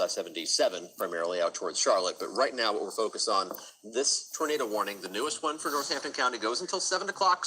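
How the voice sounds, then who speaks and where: A man's voice: mid-range voice, sharp tone, slight background noise, rough recording. A man with an American accent giving live severe weather commentary as a TV forecaster.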